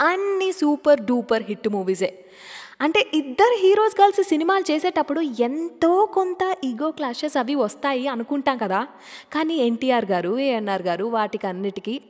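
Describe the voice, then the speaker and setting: A woman radio host chatting between old film songs in a lively, gossipy storytelling way. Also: big-room sound. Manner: lively, gossipy storytelling with big pitch swings.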